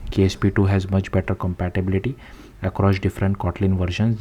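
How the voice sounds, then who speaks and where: A man's voice: low voice, warm tone, slight background noise. A man reading tech news aloud in the manner of a channel host narrating a written article.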